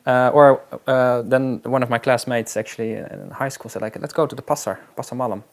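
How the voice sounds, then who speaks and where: Man, gravelly creaky voice, middle pitch, halting, reflective interview talk. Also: steady tone. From Netherlands. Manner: halting and reflective, with frequent restarts. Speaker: interviewee, wilderness guide.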